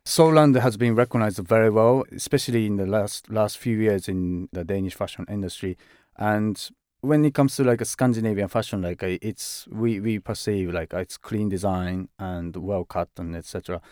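A man speaking as a fashion director in a conversational interview, halting and full of "like" and "uh" fillers, often restarting words. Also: on the low side, a little mellow, clean tone, no echo, studio quality.

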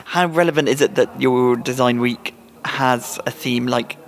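Man, presenter, measured, polished, gravelly, scripted narration. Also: mid-range voice. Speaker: interviewer and presenter. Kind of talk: interview questions and scripted narration.

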